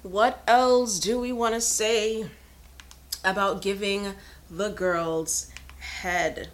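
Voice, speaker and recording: Frank, unhurried woman in her thirties. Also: mid-range voice, fairly even intonation. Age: 30s. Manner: frank, chatty, laughs often. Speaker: podcast host. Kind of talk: casual live-stream chat.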